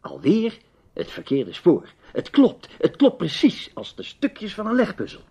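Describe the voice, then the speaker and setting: An actor in a radio drama playing a shrewd, protective adventurer who speaks emphatically and deliberately, with a husky voice. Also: male voice, sits high, huge pitch swings, mellow, heavily acted. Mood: shrewd, insistent. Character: shrewd, protective male adventurer, awkward with women.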